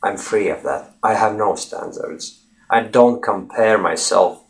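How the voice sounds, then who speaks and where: Man, low voice, non-native speaker of english, russian first language, casual coaching talk, lots of uh pauses. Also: gravelly. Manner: relaxed, conversational, many "uh" pauses, repeats key phrases. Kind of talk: casual spoken lesson to viewers.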